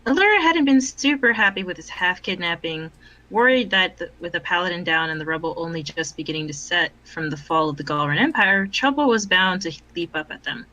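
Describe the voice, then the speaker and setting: A woman with a somewhat low, bright voice narrates expressively. She reads like a storyteller sharing fiction with a group on an online voice chat. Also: lively intonation, fairly quick, muffled recording. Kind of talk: expressive storybook-style narration, chatty between takes.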